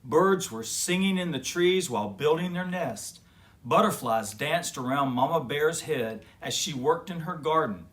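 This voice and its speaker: A man hosting a children's story show, talking directly to young kids in an enthusiastic, gentle way. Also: a little high, lively intonation, a little slow.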